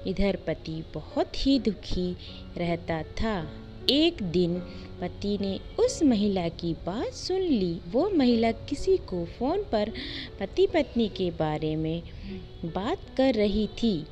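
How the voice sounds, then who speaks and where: A woman narrating a scripted moral story in simple, deliberate short phrases. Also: mid-range voice, sing-song, mellow, dull audio, faint music behind.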